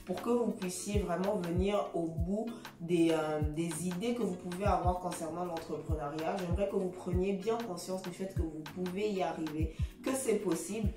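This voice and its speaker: A woman with a lowish voice hosts a relaxed interview chat, friendly and talkative, stumbling over repeated words as she thinks aloud. She sounds a little away from the mic in an echoey room. Music plays behind her. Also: moderate pace, recorded from a distance. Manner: friendly, chatty, laughs often, repeats words when searching. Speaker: host.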